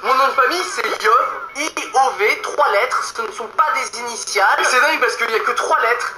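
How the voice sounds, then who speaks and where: A young man from France doing a quick, self-mocking comic monologue. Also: very high-pitched, echoey room, noisy background. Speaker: comedy vlogger.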